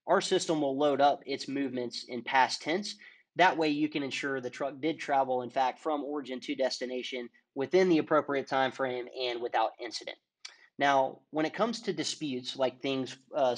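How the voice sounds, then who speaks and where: A man talks through a software demo over a screen share. He explains each step in a steady, clear presenter's manner, and his voice sits at an everyday middle pitch. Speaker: product demonstrator.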